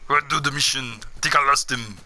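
A man giving excitable, in-character gameplay commentary, shouting taunts and boasting as he plays. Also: medium pitch, fairly quick, slight background noise. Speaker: gaming video host.

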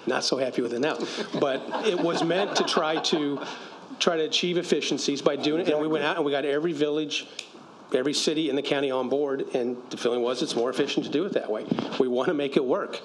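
A man's voice: on the high side, noisy background. A middle-aged man speaking firmly at a council meeting, explaining and defending an agreement. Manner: firm, explanatory, quick-talking. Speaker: county official at a council meeting. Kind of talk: council debate. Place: council chamber. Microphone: desk microphone.

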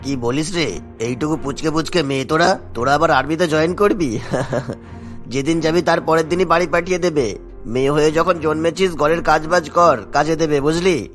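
A man's voice: a little high, moderate pace, close to an everyday voice. A man voicing a middle-aged, rich and domineering villager in a cartoon, talking in a scornful, dismissive way. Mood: mocking. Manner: dismissive, scolding, boastful. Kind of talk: cartoon voice acting. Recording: background music bed.

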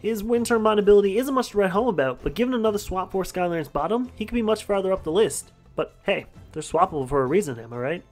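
A man's voice: high-pitched, expressive, faint music behind. A young man narrating a countdown voice-over with enthusiasm over gameplay footage. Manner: enthusiastic, brisk reading. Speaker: gaming channel host.

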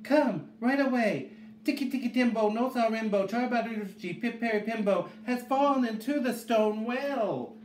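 A man's voice: medium pitch, unhurried, darkish tone, lightly acted. A man voicing a respectful, frantic little boy in a storybook, gasping and pleading with urgency. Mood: urgent pleading. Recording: echoey room, faint background noise.

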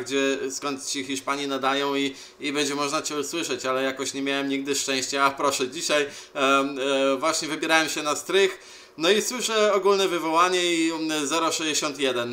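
A man chatting casually as an amateur radio operator, with a halting delivery. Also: medium pitch, sharp tone. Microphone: handheld camera beside the radio set.

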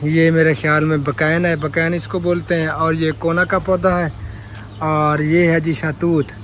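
A man's voice: fairly high pitch, little pitch movement, measured pace, fairly bright tone, slight background noise. A man, chatting casually to viewers while filming himself outdoors in a village. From Pakistan. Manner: chatty, pious, showing things to viewers. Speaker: vlogger. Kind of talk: casual village vlog narration. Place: outdoors in rural fields and a village courtyard. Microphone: phone held at arm's length.

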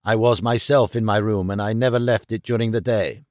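A man's voice: lowish voice, steady tone, brisk, no echo, studio quality, voice pushed down, close to an everyday voice. Man playing a scornful, agitated old Russian professor.

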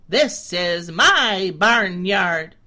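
A woman's voice: mid-range voice, lively intonation, very slow, sharp tone, full character voice. A woman playing a bossy billy goat. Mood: boastful.

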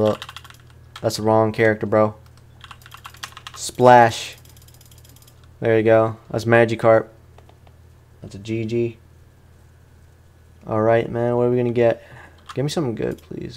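A man's voice: lowish voice, a little mellow. A man giving casual let's play commentary on a video game, chatting to viewers in slangy language. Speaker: gaming YouTuber.

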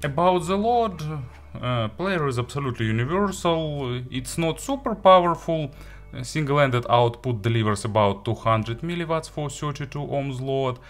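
A man who is a non-native English speaker, giving an unscripted product review in a halting, hesitant way with frequent pauses. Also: mid-range voice, animated, faint music behind. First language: not English. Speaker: audio gear reviewer.

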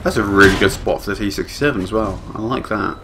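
A man's voice: on the low side, fast, noisy background. A British man giving casual video game commentary, dry and self-deprecating, rambling along to himself. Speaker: gameplay commentator. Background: video game vehicle and battle sounds.

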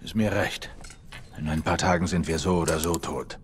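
A man playing a gruff, stern middle-aged survivor in a video game dub, terse and commanding. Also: rumbling low, fairly even intonation, normal speed, noisy background. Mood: matter-of-fact. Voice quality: gruff, husky.

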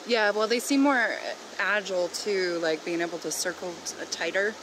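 A woman with a medium-pitched voice chats casually with her camping partner. She is outdoors, caught off camera by a handheld phone, with clear ambient noise around her words. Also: normal speed. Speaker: travel companion. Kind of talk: casual chat. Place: outdoors at a forest campsite.

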